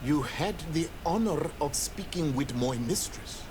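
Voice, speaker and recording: A solemn island native man guards sacred lore in a video game. His voice sits a little high, and he speaks in formal, stern, measured phrases. Clear background ambience runs behind him. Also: lively intonation, noisy background. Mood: guarded, stern. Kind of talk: video game character dialogue.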